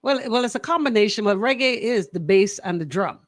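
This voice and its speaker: A woman's voice: mid-range voice, animated, a little fast, no echo. Female radio talk host, Bahamian accent. Emphatic, opinionated delivery in a dry studio. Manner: emphatic, repeats phrases for emphasis.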